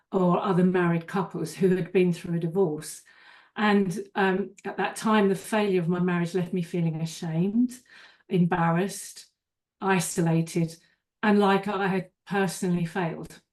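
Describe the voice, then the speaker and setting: Low-voiced older woman presenting a webinar. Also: a little mellow. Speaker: divorce coach.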